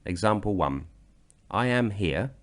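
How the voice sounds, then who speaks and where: A man from Britain narrating a slideshow grammar lesson, explaining slowly and deliberately. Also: fairly low pitch, expressive. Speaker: language teacher.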